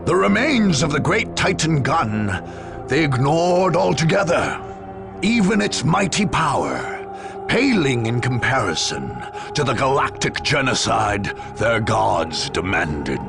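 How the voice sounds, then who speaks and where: An actor playing a grave chronicler of a grim war, delivering solemn, ominous, dramatic scripted narration for a game. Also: male voice, medium pitch, very animated, slow, gravelly, over music, full character voice.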